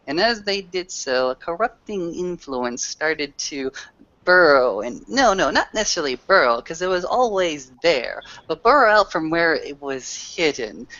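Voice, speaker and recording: Theatrical tabletop storyteller, bright and expressive. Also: medium pitch, dry recording, lo-fi sound. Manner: theatrical, grandiose phrasing with dramatic pauses. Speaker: player in a tabletop roleplaying game. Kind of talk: in-character storytelling in a tabletop game.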